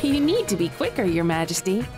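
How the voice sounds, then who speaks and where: A woman voicing a young, earnest, easily flustered female echidna guardian in an animated comic dub. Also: medium pitch, brisk, over music, natural voice. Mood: playful.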